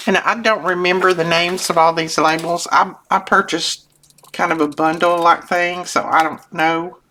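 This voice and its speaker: Low-voiced older woman chatting while crafting. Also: flat delivery, moderate pace, pushed and bright, a little hiss or hum. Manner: chatty, homey, self-interrupting, chuckles often. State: voice lowered from sinus trouble. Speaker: craft channel host. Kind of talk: casual crafting chat while working. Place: home craft desk.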